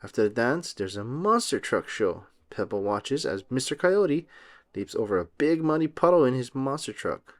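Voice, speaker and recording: Male storybook narrator for young children, expressive and very lively in pitch. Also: normal speed. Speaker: read-aloud host. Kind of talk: children's picture book read-aloud, scripted narration.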